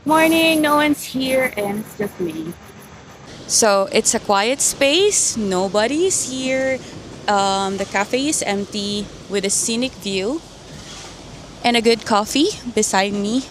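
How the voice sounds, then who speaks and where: A woman's voice: fairly high pitch, expressive, slow, a little hiss or hum. A woman from the Philippines with a Filipino accent, chatting in an informal, rambling, slangy way as a vlogger. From Philippines (Manila). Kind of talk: casual chatty personal vlog. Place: quiet empty cafe. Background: music.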